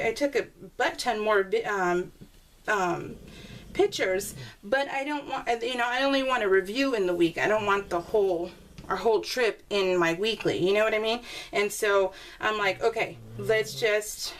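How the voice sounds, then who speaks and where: A woman's voice: mid-range voice, fairly bright tone. Rambling, chatty woman on a noisy recording. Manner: rambling, self-interrupting, giggly. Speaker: craft video maker showing scrapbook pages. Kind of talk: casual chatty voice-over, talking to viewers. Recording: clearly noisy home recording with clicks.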